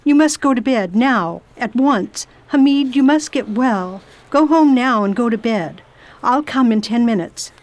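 A woman's voice: a little high, expressive, measured pace, a little mellow, low-quality recording. A woman playing a determined lady volunteer nurse, speaking firmly and properly in a radio drama. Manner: firm, commanding, proper. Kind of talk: amateur radio drama acting.

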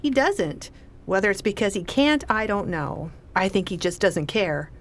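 An actress playing a wry, weary, irritable pregnant wife with a dry manner in a radio play. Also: female voice, medium pitch, big pitch swings, measured pace.

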